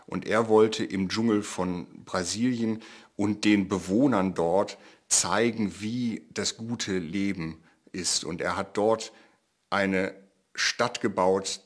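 A man speaks as an expert commentator in a documentary interview. His voice is low in pitch.

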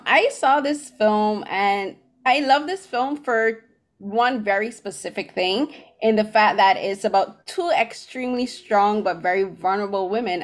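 Woman hosting a conversational film interview. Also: medium pitch. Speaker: interview host. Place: small room at home. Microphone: computer mic on a video call.

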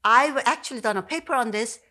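A woman in her sixties, a native Korean speaker with a Korean accent, explaining language in an animated podcast chat, with many fillers and restarts. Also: on the high side, a little fast. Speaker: Korean language professor, guest. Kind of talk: conversational explanation. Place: home study with bookshelves. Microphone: podcast mic on stand.

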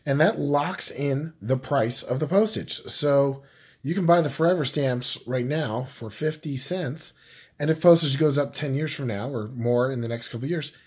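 A man with a husky, slightly raspy voice at a middling pitch talks casually to his phone camera at home. He rambles through prices and tips, stopping often on "uh" and "um" and starting his sentences over. It sounds like an everyday vlog. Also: darkish tone. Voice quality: husky, scratchy. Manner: rambling, full of fillers and restarts. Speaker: YouTube vlogger. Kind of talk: casual talk to camera, explaining postage prices.

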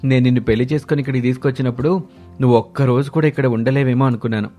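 A man's voice: mid-range voice, steady tone, rapid-fire, warm tone, close to an everyday voice. Man acting teasing young husband, music behind. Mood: amazed. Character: surprised, mildly teasing young village-born husband and son. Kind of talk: animated moral-story voice acting. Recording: music bed under the voice.

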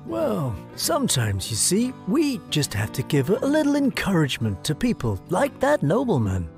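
Calm cartoon saint, patient explaining tone, wide pitch swings, light music under. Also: male voice, moderate pace, full character voice. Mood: reassuring. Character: calm, gentle middle-aged male saint at heaven's gate.

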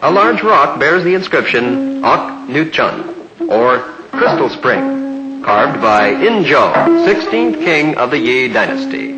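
1950s newsreel man over loud music. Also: on the high side, sing-song, dull audio. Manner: measured, formal announcer delivery. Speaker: newsreel narrator. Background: loud orchestral music.

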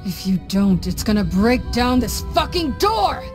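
A woman's voice: fairly high pitch, very animated, a little slow, music in the background. An actress playing a tough, resolute young woman warrior in a video game, blunt and weary. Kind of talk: dramatic video game voice acting.

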